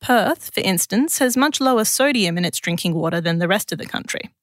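A woman's voice: medium pitch, expressive, brisk, dry recording. Australian woman narrating science, dry close sound. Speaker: science journalist and presenter. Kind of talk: scripted science explainer narration.

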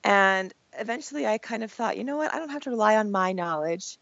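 A woman in her thirties, hoarse from a cold, chatting casually as a podcast guest in a self-deprecating, laughing way. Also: mid-range voice, lively intonation, brisk, fairly bright tone, no echo, highs cut off. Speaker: podcast guest, marriage blogger. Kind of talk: casual interview chat.

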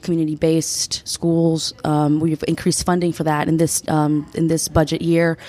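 A woman in her twenties, a state legislator from the United States, giving earnest, measured answers as a podcast guest, with frequent ums. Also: deep voice, little pitch movement, dark tone. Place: conference venue.

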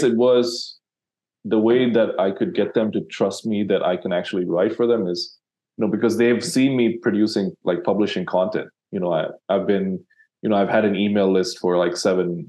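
A man's voice: fairly low pitch, normal speed, darkish tone. A man from Sri Lanka, a copywriter guest in an interview, talking casually and rambling with many fillers and restarts.

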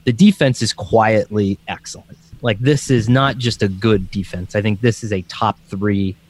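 A man's voice: lowish voice, normal speed. A young man with a Scranton, Pennsylvania accent, co-hosting a casual sports podcast and rambling with lots of fillers. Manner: rambling, hedging with many fillers. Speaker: podcast co-host.

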